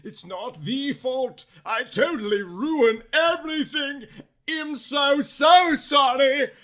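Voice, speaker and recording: A man's voice: very high voice, slow, a bit bright, pitched above the performer's own voice, exaggerated voice. A man voicing a lovesick, mournful teenage vampire boy in florid, mock old-fashioned speech for a parody story. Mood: anguished, apologetic. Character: lovesick archaic-talking male teen vampire, melodramatic and mournful.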